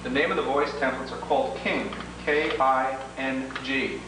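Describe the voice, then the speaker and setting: Male instructor, mid-pitched voice, methodical step-by-step demonstration, formal wording, heavily echoing room, noisy homemade 1980s video recording. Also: fairly even intonation, normal speed, far from the mic. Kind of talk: instructional training-tape walkthrough.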